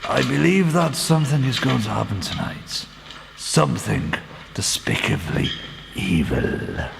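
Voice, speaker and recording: A man plays an earnest, anxious middle-aged churchman in a radio drama. His voice is heavily creaky and swings widely in pitch, with grave, formal phrasing, over faint background noise. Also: lively intonation, a little slow. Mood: grave, foreboding. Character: earnest, anxious churchman father convinced evil is coming. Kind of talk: radio play acting.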